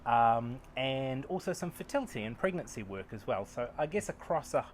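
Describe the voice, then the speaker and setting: A man's voice: medium pitch, moderate pace, slight background noise. New Zealand man chatting in an interview. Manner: relaxed, self-deprecating, many fillers. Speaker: acupuncturist and researcher. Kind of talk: casual interview answers. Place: outdoor veranda, daytime. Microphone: lapel mic.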